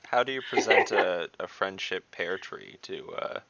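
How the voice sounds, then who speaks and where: A man's voice: sits low, animated, unhurried, vocal fry, bright, forceful tone. A man chatting casually as a player in an online tabletop game, heard over a voice call. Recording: dull online call with slight noise, clicks.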